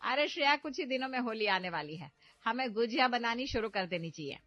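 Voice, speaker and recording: A festive middle-aged mother-in-law, played by a woman, fussy and chiding with a lively, swooping voice. It is a dry, close recording with faint music underneath. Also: on the high side, brisk, dry recording, dull audio, voice pushed up. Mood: cheerful.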